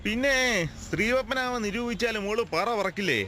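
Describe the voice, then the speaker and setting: Chatty driver, high voice, colloquial, radio drama, faint vehicle noise. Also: male voice, animated, normal speed, pushed and bright. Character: talkative, familiar male driver, irritable on the road. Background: moving vehicle ambience.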